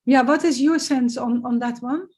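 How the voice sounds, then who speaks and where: A woman's voice: high voice, normal speed, darkish tone. A woman chairing a webinar discussion on a video call, hesitant with many fillers but joking lightly. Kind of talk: casual meeting discussion.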